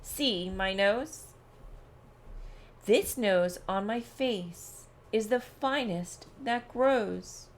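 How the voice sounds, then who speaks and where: A woman's voice: fairly high pitch, full character voice. A woman voicing a proud, competitive male bear in a storybook, boastful and emphatic. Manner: boastful, emphatic, growling at times. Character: proud, competitive male bear bragging about his nose.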